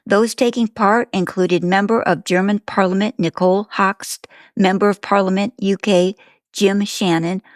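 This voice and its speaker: A woman reading scripted news as a newsreader, in a measured, formal manner. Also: medium pitch, no echo, studio quality. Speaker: news reader.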